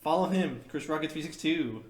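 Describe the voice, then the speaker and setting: A young man with a stuffy nose co-hosting a casual podcast chat, joking and teasing in quick banter. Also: fairly high pitch, reverberant. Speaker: podcast co-host.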